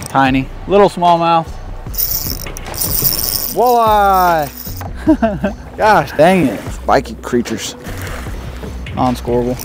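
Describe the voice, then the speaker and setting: A young man, a professional angler, giving casual running commentary from a bass boat out on a lake. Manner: casual, talks to himself and the camera crew between casts. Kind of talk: running commentary while fishing.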